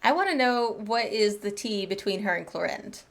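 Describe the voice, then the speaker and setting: A woman's voice: medium pitch, animated, normal speed, gravelly. A woman streamer giving casual commentary while playing through a game. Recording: faint game music behind.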